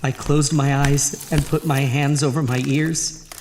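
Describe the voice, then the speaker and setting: A man's voice: mid-range voice, little pitch movement, unhurried, very noisy, close to an everyday voice. A man playing a tormented, terrified husband in a horror audio drama, dramatic and intense. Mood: grim narration. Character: terrified, tormented husband narrating his own horror tale. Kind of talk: horror audio drama, first-person narration and dialogue. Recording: long reverb, music underneath.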